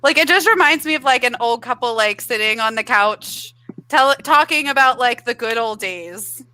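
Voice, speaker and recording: A woman's voice: on the high side, lively intonation, moderate pace, sharp tone, low-quality recording. A woman discussing a novel on a live online panel, earnest and rambling with many "like"s. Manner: rambling, heavy use of "like", earnest. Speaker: guest panelist. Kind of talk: casual book discussion.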